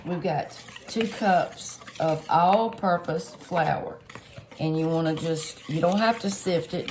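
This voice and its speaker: A woman, a little hoarse and stuffed up, chatting homily through a cooking tutorial for her viewers. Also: fairly low pitch, background noise. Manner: homey, chatty, lots of fillers, addresses viewers directly. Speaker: home cook channel host.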